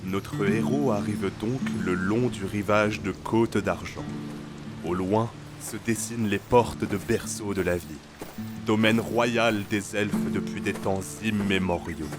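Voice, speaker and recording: A man with a lowish voice narrates a fantasy audio drama. He reads the scripted story as its narrator, with loud music running underneath. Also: steady tone, takes it slowly.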